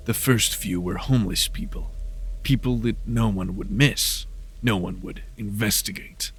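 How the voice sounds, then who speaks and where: A man's voice: on the low side, a little slow, fairly bright tone, slightly rough. A man voicing a cold, vengeful supernatural detective in a horror audio drama, with a menacing, contemptuous manner. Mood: cold accusation.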